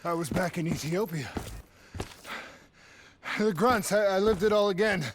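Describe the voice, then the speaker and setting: Unsure rookie soldier in a shooter game, high pitched, hesitant, slight background noise. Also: male voice, a little slow. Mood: shaken. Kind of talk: video game cutscene acting.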